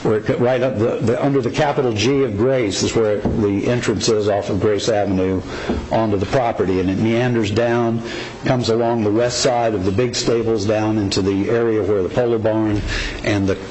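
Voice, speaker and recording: A hoarse man with a cold presenting a methodical, point-by-point case to a commission at a public hearing. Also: lowish voice, fairly even intonation, normal speed, a little mellow. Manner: methodical, point-by-point, frequent ums and restarts. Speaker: representative of property owners. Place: public meeting room. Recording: noisy meeting room recording.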